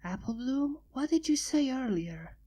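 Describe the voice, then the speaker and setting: A timid little dragon girl speaks in a hushed, faltering way, as a woman reader puts her on. The voice is mellow and gentle, pitched just above the reader's own, and sits a bit back from the mic. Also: animated, unhurried. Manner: hesitant and soft-spoken. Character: shy young female dragon child.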